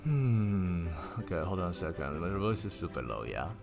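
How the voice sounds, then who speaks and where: A man streaming a casual story reading, raising his pitch for the characters and stopping to laugh and swear at typos. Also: very animated, normal speed, vocal fry, music in the background. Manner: lifts pitch for quoted characters. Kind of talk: casual livestream book reading.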